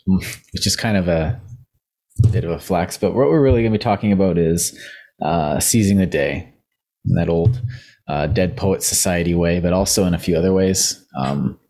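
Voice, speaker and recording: A man's voice: deep voice, dark tone. A young man hosting a casual podcast discussion who is losing his voice, rambling and thinking aloud with many fillers. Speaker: podcast co-host.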